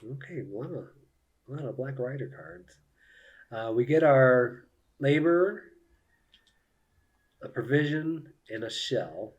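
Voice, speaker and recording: A man's voice: mid-range voice, lively intonation, takes it slowly, pauses often, muffled recording. A man giving casual live commentary on a solo board game, thinking aloud and explaining each move to viewers.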